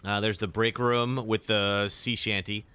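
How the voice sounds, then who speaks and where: A young man, slightly raspy today, chatting casually while live streaming a game and answering chat. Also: on the low side, flat delivery, measured pace. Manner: chatty, reacts with sudden loud exclamations; filler-heavy, answers chat. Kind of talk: live gameplay commentary.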